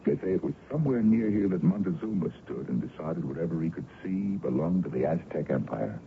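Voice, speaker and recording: An actor playing an older colonel and ardent, worldly suitor in an old-time radio drama, with a courtly, theatrical delivery. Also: male voice, low voice, sing-song, brisk, creaky, full character voice. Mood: jovial. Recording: dull, noisy vintage radio recording.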